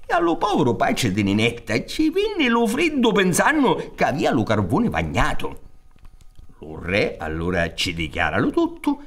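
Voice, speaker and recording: A man's voice: mid-range voice, moderate pace. A man in his sixties with a Sicilian accent narrating a folk tale in an emphatic, theatrical way. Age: 60s. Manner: emphatic, expressive, gesturing. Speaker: storyteller. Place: theater stage. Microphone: close studio microphone on stand.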